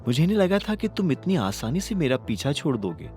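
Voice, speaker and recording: A male narrator voicing a sharp-tongued young woman who teases and scorns a rival, in a storybook audio drama. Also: fairly high pitch, sing-song, brisk, soft background music. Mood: mocking. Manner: teasing, scornful.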